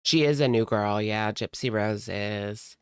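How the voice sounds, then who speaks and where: Slow-talking, blunt reaction streamer, clear and dry. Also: medium pitch, no room sound. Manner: blunt, sarcastic, conversational. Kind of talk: casual live commentary.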